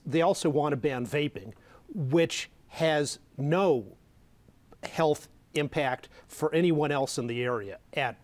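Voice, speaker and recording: A middle-aged man arguing bluntly and scornfully as a panelist on a televised political debate, recorded on a lapel mic in a studio. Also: medium pitch. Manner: blunt and scornful, mocking opponents. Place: dark television studio set at a desk.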